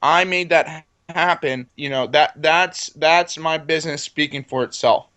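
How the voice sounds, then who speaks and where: A man in his twenties with a slightly high voice pitches his business in a conversational interview. He sounds sure of himself and a bit boastful, stressing points through repetition. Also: normal speed, sharp tone. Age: 20s. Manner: self-assured, boastful. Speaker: entrepreneur interview guest.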